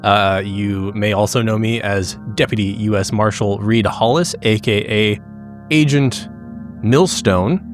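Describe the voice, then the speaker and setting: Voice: mid-range voice, measured pace, soft background music. A man casually introducing himself as a player on a tabletop roleplaying show.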